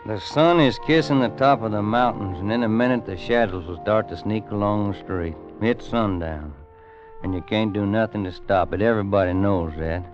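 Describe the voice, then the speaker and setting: An actor playing a weathered, plain-spoken old cowboy rancher with an American Western drawl and folksy rustic grammar in a radio western. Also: male voice, lowish voice, moderate pace, close to an everyday voice. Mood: tense, ominous. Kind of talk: 1950s radio western acting and narration. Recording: muffled old radio recording with music.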